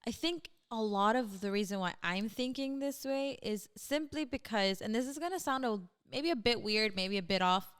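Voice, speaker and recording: A woman's voice: a little high, normal speed, hardly any pauses, a bit bright, clear, resonant tone. A young woman co-hosting a podcast, speaking reflectively in casual chat and often agreeing. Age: young adult. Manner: reflective, frequent agreeing "absolutely". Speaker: podcast co-host. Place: Houston, Texas.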